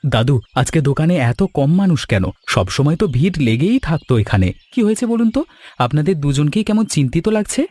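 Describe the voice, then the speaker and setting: Man playing a lively, fast-talking kind gentleman. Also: a little high, no room sound. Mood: concerned. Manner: expressive, earnest, caring. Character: kind, generous gentleman customer, eager to help. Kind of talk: animated cartoon story dialogue.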